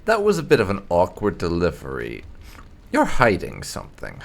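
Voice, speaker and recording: A gaming host with a creaky voice, reading role-played lines aloud and adding amused, casual asides during a playthrough. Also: low-pitched, huge pitch swings, moderate pace.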